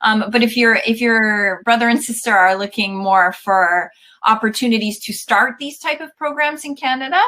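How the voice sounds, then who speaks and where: A woman's voice: fairly high pitch, normal speed, sharp tone. A woman in her thirties on a video call, giving informal, careful explanations as a university representative and qualifying her answers as she goes. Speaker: university representative, webinar guest.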